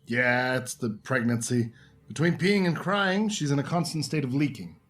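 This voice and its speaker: A woman's voice: rumbling low, lively intonation, normal speed. A woman acting a drily gossiping friend in a romantic comedy radio play. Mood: dry, gossipy.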